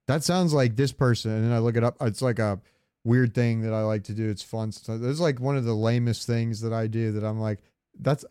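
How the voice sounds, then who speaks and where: A man's voice: mid-range voice, steady tone, brisk, dark tone, smooth, no room sound, clean studio sound. A man co-hosting a podcast in casual comedic chat, rambling through jokey, sweary stories. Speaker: podcast co-host.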